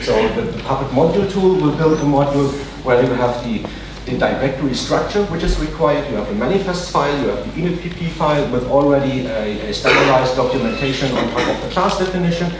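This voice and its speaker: A man's voice: mid-range voice, animated, fast. A man who is not a native English speaker gives a conference talk and takes audience questions, hesitant with many fillers and restarts. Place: large conference hall. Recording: echoey hall, distant mic, noisy.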